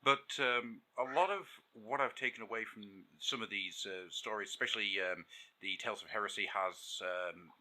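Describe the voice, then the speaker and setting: A man co-hosting a podcast, chatting casually. His voice sits a little low but rings very bright and forceful. He rambles in an animated way, full of fillers and restarts. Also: normal speed, low-quality recording. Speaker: podcast co-host.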